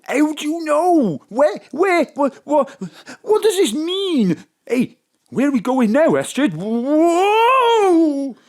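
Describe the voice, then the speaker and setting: Bewildered sidekick, gullible, anxious, high swooping voice, slow delivery, excitable audio drama style. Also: male voice, full character voice. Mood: bewildered, alarmed. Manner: excitable, confused, questioning.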